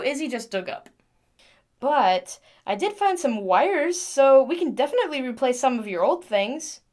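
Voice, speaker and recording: A woman playing a chatty, gentle mechanic in an audio drama, rambling affectionately to a broken machine. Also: fairly high pitch. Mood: fond, chatty. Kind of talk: audio drama monologue, conversational.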